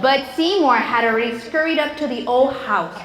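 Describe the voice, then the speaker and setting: A woman storyteller talking to young children in simple, coaxing instructions and animated read-aloud, at a school. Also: sits high, normal speed. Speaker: children's storyteller and puppet show presenter at a school. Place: large echoey room at a school, with an audience. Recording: echoey room with noisy crowd of children.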